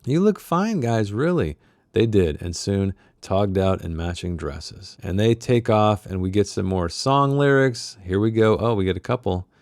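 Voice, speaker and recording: A man with a lowish, very mellow voice, close and dry with no room sound. He reads fiction aloud as a book club host, slipping into informal asides as he goes. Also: moderate pace, gravelly, hardly any pauses, dry recording. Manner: informal asides, acts out character dialogue. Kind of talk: reading a novel aloud with casual commentary.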